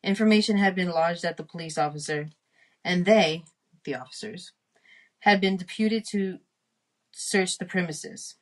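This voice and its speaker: A woman with a fairly low voice reads a classic story aloud at a very slow pace. She is careful and a little halting, sounding out difficult words piece by piece. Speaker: reader of a storytime podcast.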